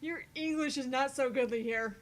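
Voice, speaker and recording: A woman's voice: high-pitched, normal speed. A woman co-hosting a game playthrough, chatting and joking casually. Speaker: co-host of a voiced playthrough.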